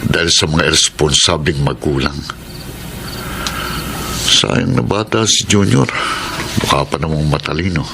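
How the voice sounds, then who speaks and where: Scheming crime boss, deep voice, assured, coaxing, brooding, radio drama, noisy background. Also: male voice, measured pace, creaky, long pauses, exaggerated voice. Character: scheming adult male gang boss, smooth-talking, brooding. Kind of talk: Filipino radio drama acting.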